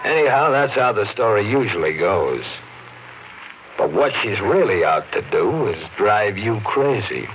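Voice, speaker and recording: A man's voice: on the low side, sing-song, unhurried, a little hiss or hum. An actor playing a blunt, knowing local working man in a 1950s radio drama, with an ominous manner. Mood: ominous, accusing. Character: blunt, knowing country depot man and diner owner. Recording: tinny old radio broadcast, music behind.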